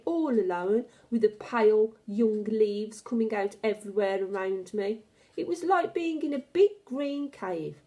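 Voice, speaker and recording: A woman reading a children's novel aloud as an expressive, dramatic and deliberate storyteller. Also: on the high side.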